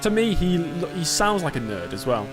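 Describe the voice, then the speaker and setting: A man's voice: fairly high pitch, big pitch swings, normal speed, music in the background. Chatty young British man streaming a game. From Britain. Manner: chatty, self-mocking, answers chat aloud. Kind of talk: casual live-stream commentary.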